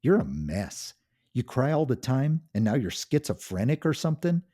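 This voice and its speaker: A cutting, condescending wife sneers and taunts in a lively, swooping voice, as a male narrator puts her on. The tone is soft and husky underneath the scorn. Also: on the low side, moderate pace. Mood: shouting scorn. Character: scornful cold-hearted wife, adult woman.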